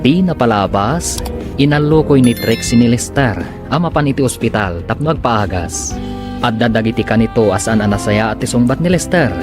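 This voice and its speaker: A man's voice: mid-range voice, expressive, normal speed. A man with a northern Philippine accent narrating and announcing a scripted radio drama serial. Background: music.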